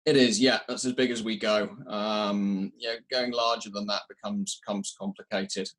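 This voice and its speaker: A man giving technical answers in an interview, measured and explanatory, pausing to find his words. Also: fairly low pitch, level tone, a bit bright, rough recording. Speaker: audio company representative being interviewed.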